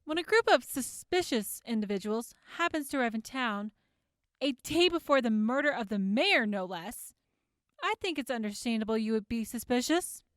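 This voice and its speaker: A woman's voice: on the high side, animated, moderate pace, dry recording, studio quality, lightly acted. An actress playing a composed woman prosecutor who questions formally and pointedly in an audio drama courtroom. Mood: probing, measured.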